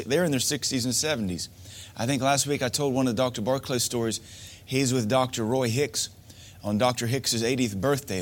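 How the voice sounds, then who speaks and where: A man preaching a sermon to men, emphatic and repetitive, asking rhetorical questions. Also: mid-range voice. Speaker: pastor.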